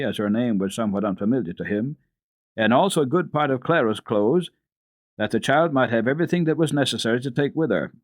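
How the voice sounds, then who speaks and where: A man's voice: mid-range voice, dry recording. A man reading classic children's literature aloud as a radio storyteller, in dramatic scripted narration. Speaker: host and reader of a children's radio program.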